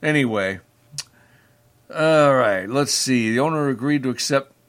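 A man's voice: medium pitch, lively intonation, measured pace, fairly bright tone. A man co-hosting a casual podcast, reading news items aloud with sardonic jokes and some stumbles. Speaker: podcast co-host.

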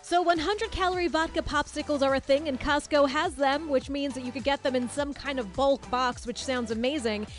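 A woman's voice: high voice, a little fast, few pauses, soft background music. A woman hosting a scripted, upbeat radio poll segment.

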